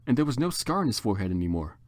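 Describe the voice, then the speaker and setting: Theatrical man reading a story aloud, lively pitch. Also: racing, no echo. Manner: theatrical, mock-serious. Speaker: reader. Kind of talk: dramatic reading of fan fiction.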